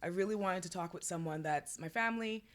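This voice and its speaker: A woman's voice: on the low side, fast. A woman hosting a podcast, talking casually with her cousin and laughing often. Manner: chatty, laughs often, self-deprecating. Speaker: podcast host.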